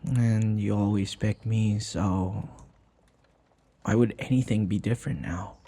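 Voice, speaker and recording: Guy playing a devoted young servant in an audio roleplay, slow and husky, halting, tender n pleading w his mistress. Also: lowish voice, steady tone, heavily acted. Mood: gentle, affectionate. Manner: soft, halting, tender, pleading, dropping to whispers. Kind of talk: intimate audio roleplay acting.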